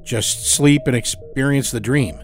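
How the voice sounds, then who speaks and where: A man's voice: medium pitch, a little slow, soft background music, voice pushed up, lightly acted. A man playing a smug, jovial doctor in a horror audio story, patronizing and coaxing as he talks. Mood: smooth, businesslike. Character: smug, jovial doctor running a sinister dream machine.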